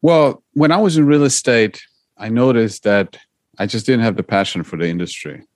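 A man's voice: medium pitch, moderate pace, a little mellow, dry recording, studio quality. A middle-aged man from Poland, earnestly explaining his investing path as a podcast guest. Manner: earnest, explanatory, some repeated starts. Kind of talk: interview answers.

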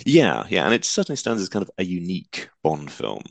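Deep-voiced relaxed male podcast host. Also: brisk, creaky, dry recording. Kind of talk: casual chat.

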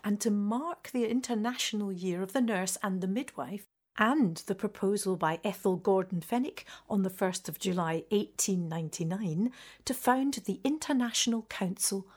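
A woman, the artistic director of a theatre company, reading a scripted podcast introduction slowly and formally. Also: medium pitch, a little mellow. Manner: slow, deliberate, formal delivery.

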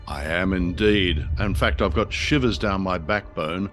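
A man with a deep voice speaks as co-host of a radio show. His banter is scripted, with faint music behind. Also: measured pace, a bit bright.

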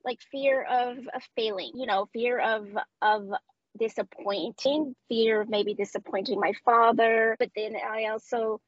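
A woman from Medellín, Colombia, with a Colombian accent and Spanish as her first language, speaking as a guest in a casual interview, often pausing and restarting. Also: on the high side, fairly even intonation, no room sound, highs cut off. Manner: hesitant, many restarts and fillers. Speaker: guest, customer success director.

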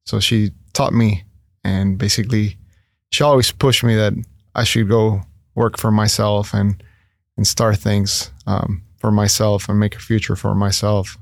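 A man's voice: lowish voice, level tone, measured pace, vocal fry, dry recording, studio quality. A man in his thirties from Ecuador, a guest in a casual interview, talking earnestly with many fillers and restarts. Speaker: guest, entrepreneur.

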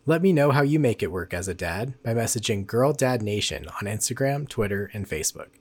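A man's voice: fairly low pitch, lively intonation, a little fast, husky, no echo. A fairly young man hosting a podcast in a casual, conversational style. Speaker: podcast host. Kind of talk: casual interview chat and podcast sign-off.

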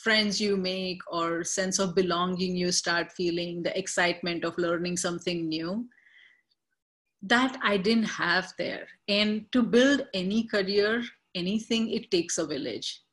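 A woman hosting an interview, speaking in a thoughtful, halting way with fillers. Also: on the low side, fairly bright tone. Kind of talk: conversational interview.

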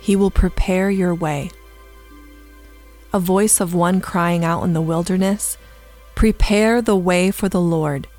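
A woman reads scripture aloud in a slightly low voice, very slowly and deliberately. Her delivery is solemn and measured. Gentle new-age instrumental music plays clearly underneath. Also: steady tone. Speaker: scripture reader.

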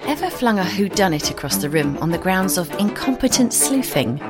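A woman's voice: medium pitch, sing-song, fairly quick. A woman reading scripted promotional narration as an announcer. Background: music.

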